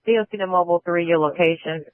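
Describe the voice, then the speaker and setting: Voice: medium pitch, fast, no room sound, narrow, tinny audio. A man working as a police dispatcher, speaking in terse radio calls over a scanner feed. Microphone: police radio channel.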